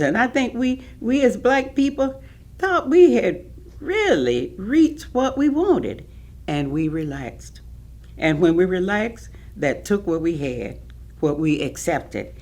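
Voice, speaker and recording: An actress playing an earnest, dignified older community elder, reflective and emphatic in a staged reading of recollections. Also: female voice, fairly low pitch, exaggerated voice. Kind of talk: staged reading of oral history testimony.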